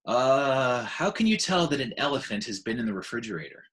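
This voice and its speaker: A man voicing a patient, weary adult male gorilla in a children's book, speaking in a measured way. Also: medium pitch, sing-song, a bit bright. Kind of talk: children's book read aloud.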